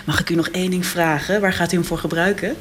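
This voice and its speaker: A woman's voice: on the low side, fairly even intonation, fast, lightly acted. A woman playing a chirpy, helpful telephone operator in a satirical radio drama, polite and sing-song on her end of a call. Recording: clear background office noise, clicks.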